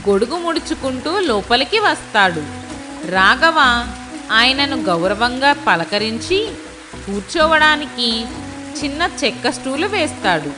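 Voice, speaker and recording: A woman's voice: high voice, lively intonation, unhurried. Woman narrating a story over music.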